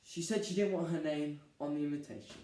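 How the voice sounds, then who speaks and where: A man's voice: fairly high pitch, fairly even intonation, a little fast, dark tone, lightly acted. A young man playing a harried, put-upon groom in a dramatic stage monologue, theatrical, emphatic and exasperated. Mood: defensive. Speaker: drama student performer. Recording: very echoey room, distant microphone.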